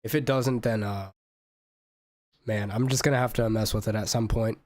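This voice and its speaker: A man's voice: on the low side, fairly even intonation, a little mellow, dry recording. Man muttering casually on a livestream, dry sound. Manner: halting, muttering to himself while troubleshooting. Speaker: live streamer. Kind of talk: casual gaming livestream chat.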